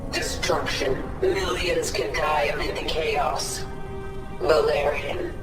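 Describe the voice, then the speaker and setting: An actress playing a vengeful, commanding alien queen in a video game cinematic, speaking gravely and imperiously. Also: low-pitched, fairly even intonation, slow, over loud music. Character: vengeful, commanding alien swarm queen, battle-hardened woman. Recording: echoey, distant, under loud score.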